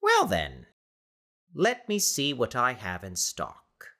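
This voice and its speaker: A man's voice: mid-range voice, huge pitch swings, sharp tone, heavily acted. Audiobook villainous merchant, thirties, played by a man: grandiose, oily, pleasant, theatrical showman's delivery. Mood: slyly pleasant. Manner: theatrical, amicable, drawn-out salesman patter. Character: grandiloquent, leering huge merchant in his mid-thirties, slick showman.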